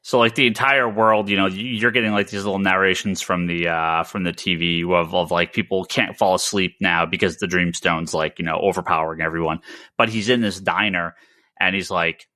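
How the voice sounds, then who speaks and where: A man co-hosting a comics podcast, explaining things casually and emphatically with halting starts and repeated words. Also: low-pitched, steady tone, bright, forceful tone, dry recording, studio quality.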